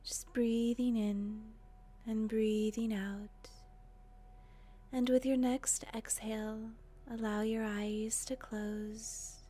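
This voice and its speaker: A woman leading a guided meditation in a gentle, breathy voice with long, unhurried pauses. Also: on the high side, level tone. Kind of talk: guided meditation, soothing narration.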